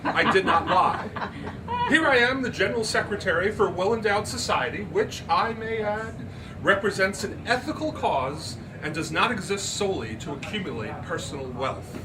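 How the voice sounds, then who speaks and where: A man's voice: high voice, expressive, moderate pace, recorded from a distance, background noise, pitched above the performer's own voice. A man voicing an elegant, haughty, flirtatious woman in a grandiose, formal, sonorous manner. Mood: boastful. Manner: grandiose, formal, precise diction.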